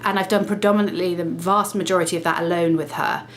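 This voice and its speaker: A woman's voice: lowish voice, steady tone, a little hiss or hum. A woman vlogging to camera, chatty and explaining things step by step, sounding slightly nasal from a cold. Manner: chatty, self-correcting, brisk explaining. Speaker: lifestyle vlogger. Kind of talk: casual talk to camera.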